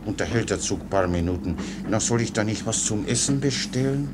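Male actor as naive soldier orderly, slightly low voice, rambling, deferential, cheerfully foolish, radio play, noisy background. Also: expressive, a little fast, lightly acted. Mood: blithely helpful. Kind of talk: German radio play acting. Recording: clear background noise.

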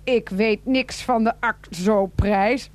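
A woman acting a sharp-tongued, mocking woman in a satirical radio play, with a sarcastic, bossy, theatrical delivery. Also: a little high, slow pace. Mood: mocking, challenging.

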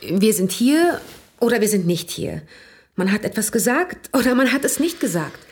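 An actress playing a candid, gently skeptical young married woman in a radio play, speaking in a measured, direct, quietly ironic way. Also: a little high, very animated. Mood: searching, serious. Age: young adult.

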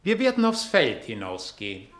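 A man's voice: medium pitch, huge pitch swings, normal speed, fairly bright tone. An actor in a radio drama playing a sly older father, coaxing and patronising in manner.

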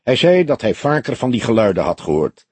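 A man's voice: mid-range voice, big pitch swings, fairly quick, a little mellow, breathy edge, no echo. A man voicing an earnest young male detective, a questioning and slightly wry junior partner in an audiobook.

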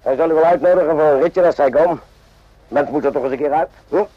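An actor playing a cynical, world-weary army sergeant in a radio drama, offhand and sarcastic, in short clipped phrases. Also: male voice, on the high side, quick pace, no room sound, natural voice. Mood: cynical, teasing. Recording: muffled lo-fi old radio recording.

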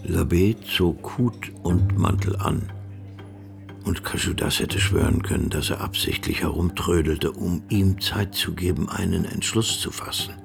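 A man narrating a radio crime drama as a grave storyteller, measured and suspenseful. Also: low-pitched, gravelly, faint music behind, exaggerated voice.

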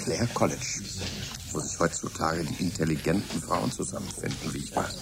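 A deep, gravelly older man, speaks as an avuncular, self-assured scientist in a radio play. He is blunt and genial, and the recording has clear background noise. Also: normal speed. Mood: reassuring. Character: genial, blunt old British scientist, a fatherly knight. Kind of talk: German radio drama acting.

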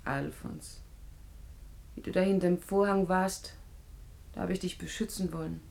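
A woman's voice: fairly low pitch, fairly even intonation, a little slow, vocal fry, darkish tone. An actress playing a defiant, defensive young woman in a radio play, with a curt and indignant manner. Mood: wounded, pleading. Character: defiant young unmarried woman questioned by police. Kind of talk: German radio play acting.

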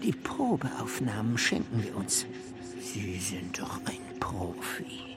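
Slow, fanatical Nazi broadcasting chief, loud music behind. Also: male voice, huge pitch swings, in a whisper, full character voice. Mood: eager, boastful. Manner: officious, declaiming, swinging between hushed and shrill shouting. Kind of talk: German radio play acting.

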